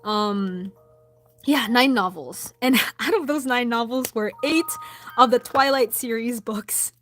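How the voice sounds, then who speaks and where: A young woman with a Filipino accent chatting casually with her livestream viewers while drawing, soft-spoken with lots of ums. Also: a little high, slow. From Philippines. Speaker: livestream host. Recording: background music. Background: music.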